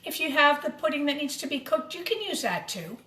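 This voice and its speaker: A woman's voice: high voice, big pitch swings, fairly quick, sharp tone. A woman giving a step-by-step cooking demonstration for children, explaining each thing simply and addressing them directly. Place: indoors in a kitchen. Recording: roomy echo, clattering kitchen noise.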